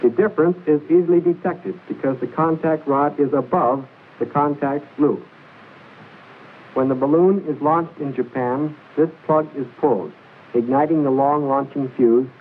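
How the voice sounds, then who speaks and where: A man narrates an old military training film in a formal, measured, precise instructional style, reading from a script. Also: mid-range voice, steady tone, narrow, tinny audio. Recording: hissy band-limited 1940s film soundtrack.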